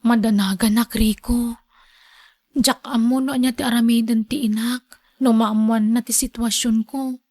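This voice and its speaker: Anxious young student for radio serial, woman actor, Filipino accent; high plaintive voice, dry sound, pleading delivery. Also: steady tone, normal speed, dark tone, no room sound, lightly acted. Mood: worried. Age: young adult. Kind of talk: radio drama acting.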